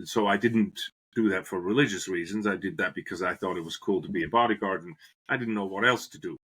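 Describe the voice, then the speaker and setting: Middle-aged Swiss-born man, low-pitched, earnest podcast guest recounting his life story. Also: fast, a bit bright, breathy edge. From Switzerland. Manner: earnest, restarts phrases while searching for words. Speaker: guest, business professor. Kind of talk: podcast interview, reflective storytelling.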